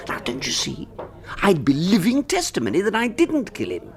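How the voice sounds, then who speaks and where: An actor playing a flustered, scheming British husband in a radio drama, wordy, theatrical and fretful. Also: male voice, sits high, huge pitch swings, normal speed, exaggerated voice. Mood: excited scheming. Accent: British English. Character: flustered, scheming husband beset by his own duplicate.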